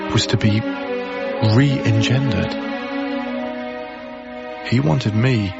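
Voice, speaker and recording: A man acting in a radio drama as an earnest, haunted young lodger, hushed and deliberate in his delivery. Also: fairly low pitch, gravelly, lo-fi sound. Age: young adult.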